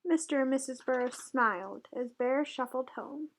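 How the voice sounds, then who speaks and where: A woman tutor reading a storybook aloud to young children in an expressive way. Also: high-pitched, moderate pace. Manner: expressive, voices the characters' dialogue.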